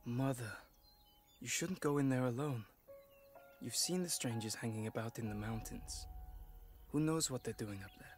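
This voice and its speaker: A man's voice: medium pitch, moderate pace, long pauses, soft background music, natural voice. A young man acting in a video game as an earnest, protective teenage son who hunts. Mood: concerned.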